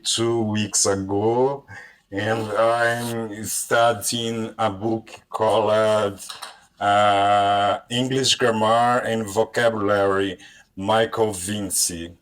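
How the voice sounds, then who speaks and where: A man speaking English with a strong Brazilian Portuguese accent, feeling his way through each sentence. He talks at a very slow, careful pace with frequent pauses and restarts. His voice sits fairly low. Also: flat delivery, a bit bright. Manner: hesitant, halting, frequent restarts. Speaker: language learner. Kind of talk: online conversation party chat.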